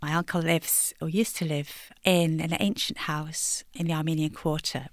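A woman's voice: low voice, level tone, moderate pace, dry recording, clean studio sound. A woman poet from England answering interview questions thoughtfully, laughing lightly between thoughts. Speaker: poet guest.

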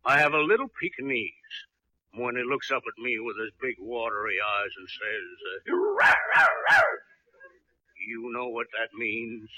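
An actor playing a pompous, eccentric, dog-loving veterinarian in an old radio comedy, stuffy and self-important. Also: male voice, mid-range voice, moderate pace, pushed and bright, no room sound. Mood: smug. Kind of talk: mid-century radio comedy acting. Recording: muffled, very noisy old radio recording.

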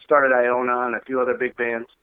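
A man's voice: mid-range voice, completely flat delivery, brisk, smooth, dry recording. A man being interviewed about his music, talking in a rambling way with many fillers. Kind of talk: casual interview. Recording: tinny phone line.

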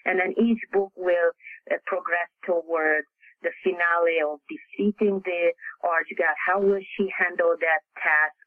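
A man from Hungary, native Hungarian speaker with a Hungarian accent, answering interview questions haltingly with many fillers and restarts. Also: sits high, steady tone, no echo, phone-quality sound. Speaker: author guest.

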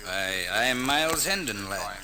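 A man's voice: fairly low pitch, lively intonation, normal speed, lightly acted. An actor playing a gallant, kindly nobleman swordsman, speaking theatrical archaic English in an old radio drama. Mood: gentle, courteous. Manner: theatrical, archaic courtly speech. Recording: noisy vintage radio recording.

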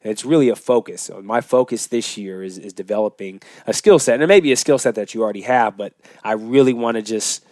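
A man's voice: fairly low pitch, fast talker, few pauses, darkish tone, dry recording, clean studio sound. A man co-hosting a podcast, talking casually and motivationally, with emphatic repetition of phrases. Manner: emphatic, repeats phrases for effect. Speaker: podcast co-host.

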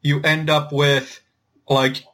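A man explaining things informally as a podcast host, thinking aloud in a halting way with repeated words. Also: fairly high pitch, level tone, sharp tone. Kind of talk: informal explanatory discussion of linguistics.

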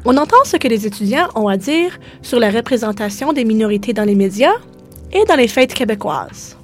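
Lively, high-voiced woman podcast host. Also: normal speed, soft background music. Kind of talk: scripted bilingual narration.

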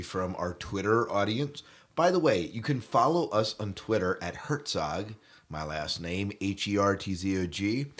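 A man hosts a casual podcast. He chats with jokey, geeky banter, as one fan to another. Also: deep voice. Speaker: podcast host.

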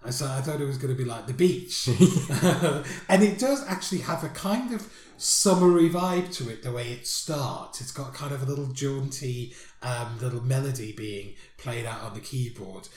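A man's voice: medium pitch, expressive, normal speed, a little mellow. A man co-hosting a casual chat about songs, rambling and laughing often. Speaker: podcast co-host. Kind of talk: casual music review chat. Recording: roomy echo.

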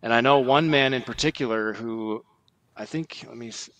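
A man giving formal, measured religious commentary on a podcast, quoting documents and stressing points as he lists them. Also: medium pitch, a bit bright, no echo.

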